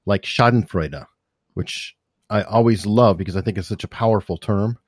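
Curious, lower-voiced male interview host. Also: normal speed, husky, dry recording, studio quality. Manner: curious, musing. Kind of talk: conversational interview.

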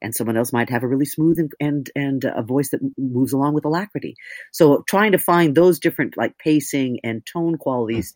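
A woman with a deep voice, an audiobook narrator talking candidly in an interview, thoughtful and self-mocking. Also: brisk, darkish tone, no room sound, studio quality.

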